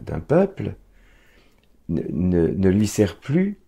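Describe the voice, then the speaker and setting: Husky gravelly man, lively delivery. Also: sits low, slow pace. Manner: expressive, explaining with examples. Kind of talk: conversational interview.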